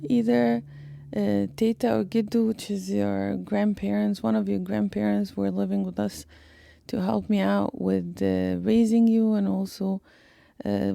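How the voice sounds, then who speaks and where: A middle-aged woman, recalling family life haltingly with many pauses and restarts. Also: mid-range voice, gravelly, dark tone, no echo, studio quality. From Egypt. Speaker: interviewee, mother, doctor. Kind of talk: casual family interview.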